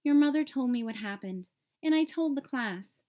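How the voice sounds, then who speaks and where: A woman voicing a kind, reassuring male owl schoolteacher in a storybook, gentle and encouraging. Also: high-pitched, expressive, normal speed.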